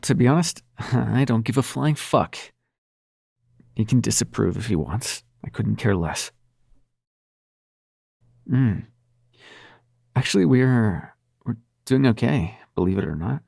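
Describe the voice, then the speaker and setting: Casting: male actor as sardonic, sweary prince of nightmares, smitten and stammering, gravelly voice, dry close sound, intimate audio drama. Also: medium pitch, animated, takes it slowly, long pauses, darkish tone, no echo, exaggerated voice. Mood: candid, softened. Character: male supernatural prince of nightmares, brash, flustered, smitten lover. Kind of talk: intimate audio roleplay acting.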